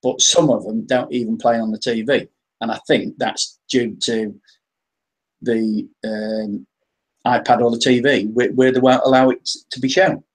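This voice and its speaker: A man's voice: mid-range voice, little pitch movement, unhurried, low-quality recording. An English man talking casually as the guest on a live-stream interview, often restarting and repeating his words. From England.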